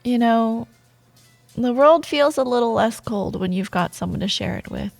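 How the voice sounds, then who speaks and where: A woman playing a proud, teasing yet wistful rival coworker in an intimate ASMR romance roleplay monologue, with playful banter, sighs and soft pauses. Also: a little high, expressive, gravelly, no room sound, faint music behind. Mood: warm, flirty. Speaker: audio roleplay performer.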